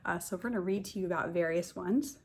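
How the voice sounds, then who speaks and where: A woman kindergarten teacher reads a picture book aloud to young children, pausing to explain and point things out in simple words. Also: on the low side, a little mellow, husky.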